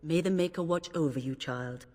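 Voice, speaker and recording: A woman's voice: low voice, normal speed, a little mellow. An actress playing a grave, principled revered mother in a fantasy video game, speaking formally and piously. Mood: solemn. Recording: long reverberant hall echo.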